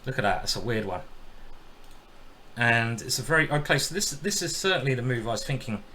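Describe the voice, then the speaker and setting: Bright-voiced chatty male chess streamer. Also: medium pitch, animated, fairly quick, slight background noise. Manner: chatty, jokey, greets viewers while thinking aloud. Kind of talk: casual live-stream game commentary.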